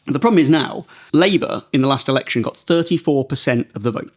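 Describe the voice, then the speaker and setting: A man's voice: mid-range voice, animated, fairly quick. A man hosting a political podcast, explaining things step by step in an informal, chatty way. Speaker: podcast host, political journalist.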